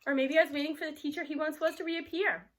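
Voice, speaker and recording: A woman narrating a storybook aloud in an expressive first-person read-aloud style. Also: high-pitched, quick pace, slight background noise.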